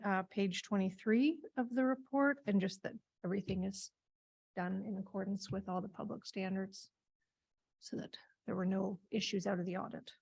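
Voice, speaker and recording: Woman, finance staff, raspy with vocal fry, plain reporting tone. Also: medium pitch, a little mellow, rough recording. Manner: matter-of-fact. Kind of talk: reporting at a meeting.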